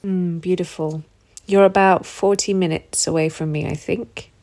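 A woman's voice: fairly low pitch, steady tone, normal speed, a little mellow. A woman hosting a podcast interview in a casual, affectionate way, calling her guest and listeners love. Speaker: podcast host. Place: rural home in the countryside.

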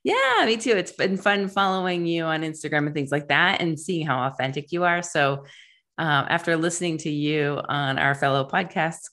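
A woman's voice: low-pitched, expressive, moderate pace, fairly bright tone. A woman hosting an interview podcast, introducing topics and asking her guest questions. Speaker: podcast host.

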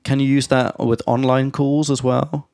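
A man co-hosting a casual language-learning chat, mixing with halting, self-correcting Spanish. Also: mid-range voice, level tone, gravelly, darkish tone, smooth, dry recording. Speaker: podcast co-host learning Spanish.

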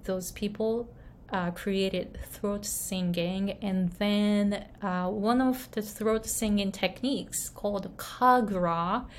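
A woman from Japan coaching viewers on their voices, speaking slowly in a mid-range pitch. She talks gently, pausing and restarting her sentences often. A faint hiss sits under the recording. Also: fairly even intonation. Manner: gentle, halting with many restarts and fillers. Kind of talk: video lesson talking to camera.

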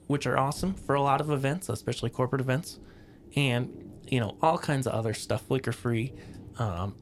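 Male podcast host, hoarse with a cold, gravelly, casual explainer. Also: medium pitch. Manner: relaxed explainer with many ums and restarts. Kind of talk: casual lighting podcast talk.

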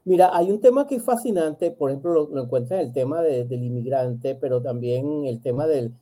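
A man's voice: medium pitch, animated, brisk, mellow. A man, a psychologist, talking reflectively in an interview, often restarting and repeating words. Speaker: psychologist guest. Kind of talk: interview conversation.

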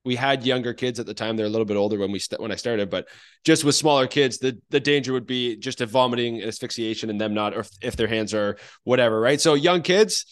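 Guy hosting a podcast, talks fast, casual and kinda rambly, medium pitch. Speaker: podcast host. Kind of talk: casual conversational interviewing.

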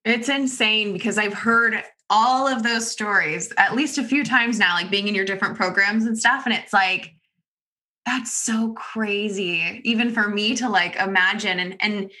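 A young woman with a bright, forward voice chats enthusiastically in a casual interview. She talks loosely at a middle pitch, with "like" dropped in again and again. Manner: chatty, enthusiastic, heavy use of "like". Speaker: interviewer and former client.

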